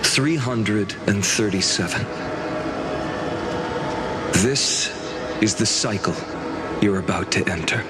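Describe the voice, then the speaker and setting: A man playing a calm, weary, knowing guide in a video game, speaking in measured, somber, patient phrases. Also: over loud music. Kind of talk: dramatic video game voice acting. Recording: loud rumbling ambient noise behind.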